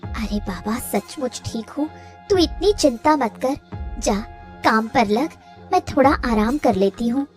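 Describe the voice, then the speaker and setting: Woman as a gentle, tired, slow-speaking wife. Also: a little high, expressive, soft background music. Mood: weak, reassuring. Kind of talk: Hindi cartoon story dialogue.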